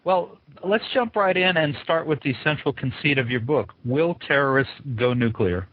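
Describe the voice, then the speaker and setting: A man with a husky voice hosting an interview as he introduces and questions his guest. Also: a little high, animated, moderate pace, no echo, narrow, tinny audio. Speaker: interview host.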